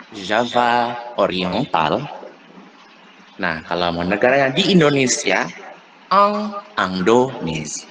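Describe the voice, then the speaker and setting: A young man teaching French on a video call, drilling pronunciation slowly and repeating phrases. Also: on the low side, fairly bright tone, rough recording. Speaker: French tutor. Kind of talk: online French lesson.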